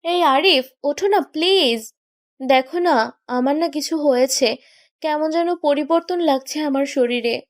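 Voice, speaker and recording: A woman's voice: high voice, measured pace, no room sound, clean studio sound. A woman playing a pouty, teasing young woman in love who scolds and complains in a romantic audio story. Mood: whiny, sore. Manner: complaining, playfully indignant.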